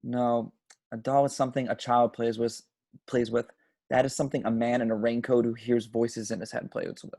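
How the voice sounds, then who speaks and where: A man playing a gravelly, sarcastic young husband. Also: medium pitch, level tone, normal speed, a little mellow, no echo, studio quality. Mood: wry, sarcastic. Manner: sarcastic, casual. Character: boyish, sarcastic young husband, twenty-nine. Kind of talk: home table read of a film script.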